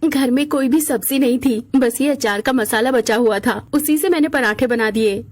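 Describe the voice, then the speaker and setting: A woman's voice: fairly high pitch, normal speed, lightly acted. A woman voicing a poor, devoted young mother in a cartoon story, earnest and pleading. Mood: resourceful.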